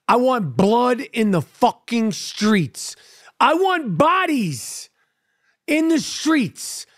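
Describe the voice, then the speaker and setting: A man's voice: very high voice, fairly bright tone, no echo, clean studio sound. A brash, profane man in his fifties with a New York accent, hosting a casual podcast and making mock demands. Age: 50s. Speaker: podcast host.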